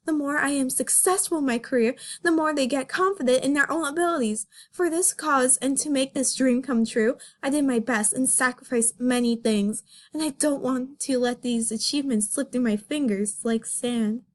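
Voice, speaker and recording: Woman acting a high, anguished young female rabbit. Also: moderate pace, few pauses, natural voice. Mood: earnest. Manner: melodramatic, pleading. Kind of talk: amateur dramatic comic reading.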